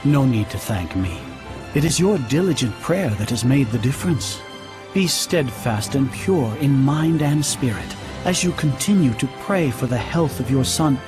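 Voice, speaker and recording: An actor playing a humble, devout priest who speaks formally and deferentially, hesitant when flustered, in a fantasy game scene. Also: male voice, mid-range voice, expressive, normal speed, natural voice. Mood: solemn, devout. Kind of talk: dubbed fantasy game cutscene acting. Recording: orchestral music behind the voice.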